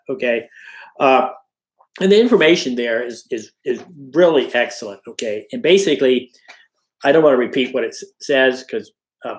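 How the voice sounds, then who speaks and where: A man's voice: medium pitch, roomy sound, low-quality recording. A middle-aged man, a college instructor, giving an informal recorded lecture and tagging his sentences with "okay?" and "all right?". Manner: conversational, frequent "okay?" and "all right?" check-ins.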